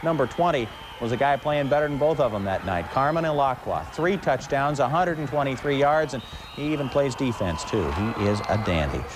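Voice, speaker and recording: A man anchoring a sports segment, narrating game highlights with colorful, folksy phrasing. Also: animated, brisk, background noise.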